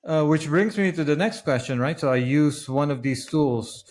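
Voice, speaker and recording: A man from the Philippines hosting a podcast in casual interview chat, halting and often repeating the start of his phrases. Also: a little high, darkish tone. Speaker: podcast host.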